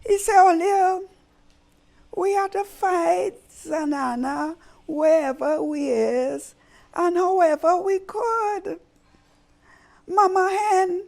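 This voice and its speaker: Lady doing a tiny sly spider guy hyping everyone up, high and pushy, gravelly. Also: exaggerated voice. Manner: urgent, rousing, commanding. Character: clever small male spider trickster, rallying.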